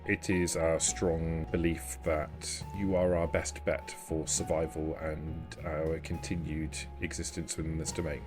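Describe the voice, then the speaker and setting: A man playing a shrewd, self-serving vampire negotiator in tabletop roleplay, speaking formally and deliberately with halting pauses. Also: rumbling low. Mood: guarded, deliberate. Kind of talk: in-character tabletop roleplay dialogue.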